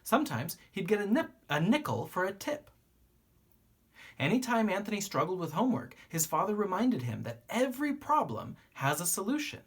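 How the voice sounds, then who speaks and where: Expressive storybook reader with a husky edge. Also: mid-range voice, normal speed. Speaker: teacher reading a picture book aloud. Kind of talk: expressive children's storybook read-aloud.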